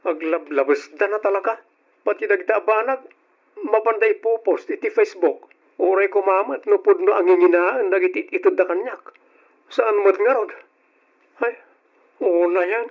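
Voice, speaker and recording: An actor playing a put-upon young nephew in an Ilocano radio drama, speaking with an Ilocano Filipino accent. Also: male voice, high-pitched, normal speed, pauses often. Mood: resentful, weary. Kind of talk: old-style Ilocano radio drama acting. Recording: dull, lo-fi radio drama recording.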